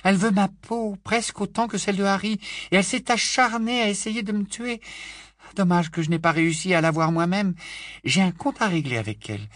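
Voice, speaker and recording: A man voicing a bold young witch who speaks with warmth and emphasis in a storybook reading. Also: high-pitched, brisk, no echo, studio quality, voice pushed up. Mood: eager. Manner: lively, emphatic. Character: bold young witch, spirited auror woman.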